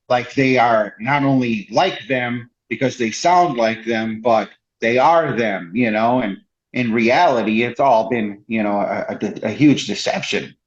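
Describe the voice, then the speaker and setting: Male guest, mid pitch, hesitant and filler-heavy, dull lo-fi recording. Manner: halting, restarts a lot, many "you know" fillers. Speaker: podcast guest. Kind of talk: casual conversation. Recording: dull lo-fi sound.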